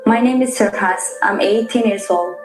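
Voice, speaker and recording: A woman playing an eighteen-year-old, reading a scripted first-person account in a steady way. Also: mid-range voice, normal speed, natural voice. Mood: somber. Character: eighteen-year-old girl caught in shelling. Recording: echoey room with faint music.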